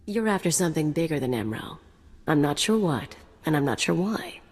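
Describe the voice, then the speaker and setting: Mercenary, cocky, sardonic, very low-voiced, reverb. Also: female voice, sing-song, brisk, a little mellow, slight background noise. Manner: sardonic, flippant. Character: cocky, reckless female mercenary. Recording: game audio with long reverb.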